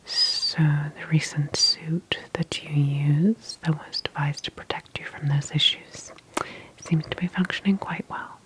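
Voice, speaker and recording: A woman whispers softly in a low-pitched, breathy voice, playing a calm sci-fi clinic specialist for ASMR. She takes her time with every phrase, sounding gentle, attentive and professionally reassuring. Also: a little slow, rough recording. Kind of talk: ASMR soft-spoken roleplay.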